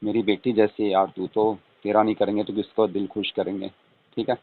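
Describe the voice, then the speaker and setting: A man's voice: on the low side, flat delivery, brisk, no echo. A middle-aged man chatting casually on a phone call, informally, often tagging questions onto his statements. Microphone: telephone. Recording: tinny, narrow phone-call audio.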